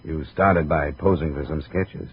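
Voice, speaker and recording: An actor playing a wry, hard-boiled American investigator, clipped and world-weary, in 1950s radio noir style. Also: male voice, extremely low pitch, steady tone, a little slow, slight background noise. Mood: cool, probing. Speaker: lead actor and narrator of a radio detective series. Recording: dull vintage radio recording with music.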